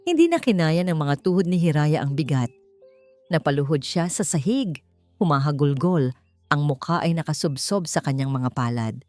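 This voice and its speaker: A woman's voice: low-pitched, darkish tone, no room sound. A woman with a Filipino accent narrating a scripted story in an emotive, theatrical way, as an audiobook narrator.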